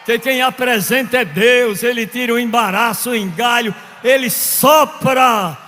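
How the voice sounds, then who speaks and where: A man preaching a sermon from Northeast Brazil, telling stories, acting out quoted voices and building to shouts. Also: very high-pitched, a little slow. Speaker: pastor. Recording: long reverb of a large hall.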